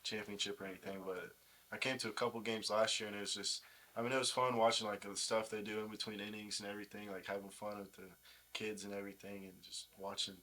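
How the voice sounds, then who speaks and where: Young male ballplayer as interview guest, lowish pitch, very even delivery, casual. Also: fast talker, low-quality recording. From United States. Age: young adult.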